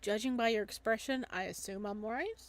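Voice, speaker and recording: A woman's voice: a little high, normal speed, lightly acted. Visual novel best friend, male, young, laid-back and teasing, loyal underneath woman performer. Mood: probing. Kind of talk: visual novel dialogue reading.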